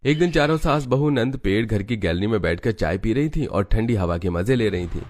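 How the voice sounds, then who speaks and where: A man's voice: fairly low pitch, lively intonation, brisk, a little mellow. A man narrating a family story in a scripted storybook style.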